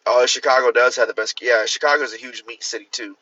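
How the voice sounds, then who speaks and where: Bright-voiced man chatting on a livestream. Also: fairly even intonation, quick pace, dry recording, highs cut off. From Miami. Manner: rambling, restarts, swings up in pitch for emphasis. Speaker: author hosting a livestream. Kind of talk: casual livestream chat. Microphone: phone or computer mic.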